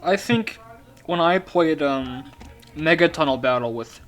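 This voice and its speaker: A man's voice: on the high side, measured pace, a bit bright. A teenage boy hosting a casual podcast chat, hesitant and full of fillers and restarts. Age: teens.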